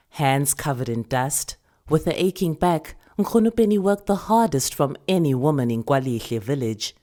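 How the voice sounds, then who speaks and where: A woman's voice: extremely low pitch, darkish tone. A woman with a South African accent reading a literary short story as a scripted narration.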